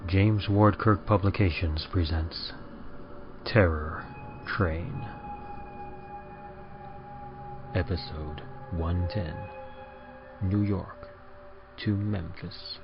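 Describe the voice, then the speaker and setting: A man playing the grim conductor of a hellish train in old-time radio horror style, theatrical, slow and ominous. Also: deep voice, steady tone. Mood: grave announcing. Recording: muffled, distant, over music.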